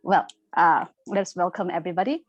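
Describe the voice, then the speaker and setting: A woman's voice: on the low side, a little fast, dry recording, muffled recording, faint music behind. Polite young woman hosting a call. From Nepal. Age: young adult. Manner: polite, uses fillers like "you know" and "like". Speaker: moderator and host. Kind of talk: online talk show hosting. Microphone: video call.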